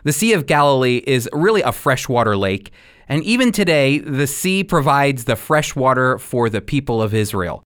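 A man giving a radio devotional as a Bible teacher, with emphatic, deliberate phrasing. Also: mid-range voice, sing-song, fairly bright tone.

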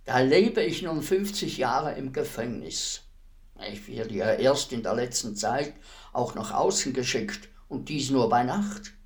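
An actor playing a weary old executioner in a radio play, speaking in a deliberate, ruminative manner with heavy pauses. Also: male voice, medium pitch. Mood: brooding, resigned. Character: weary old male executioner, fifty years imprisoned, brooding, humble.

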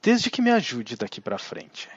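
An actor with a Brazilian accent playing a manipulative undead necromancer in an audio drama, theatrical, grandiose and cajoling. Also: male voice, medium pitch, wildly swooping pitch, a little slow, breathy edge, no echo, highs cut off, full character voice. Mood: menacing, smug. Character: undead male necromancer ancestor, smug, manipulative, persuasive villain.

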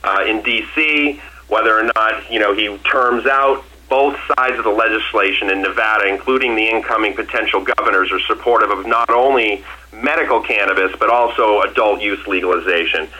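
A man's voice: on the low side, normal speed, sharp tone, rough recording. A man from Massachusetts, a company executive answering questions on an earnings call in a confident, emphatic way, often restarting and repeating phrases.

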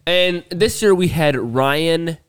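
A man talks through a meandering story on a podcast, pausing and restarting often. He's sick, and his throat is worn. His voice stays smooth and resonant, recorded close and dry. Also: on the high side, no room sound. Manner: rambling storyteller, many restarts and fillers. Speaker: podcast co-host. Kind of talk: casual chat.

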